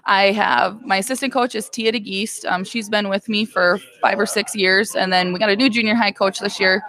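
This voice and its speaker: A woman's voice: mid-range voice, steady tone, moderate pace, rough recording. A woman in her thirties, a girls basketball head coach, answering interview questions in a plain-spoken way with many fillers. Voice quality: loud voice.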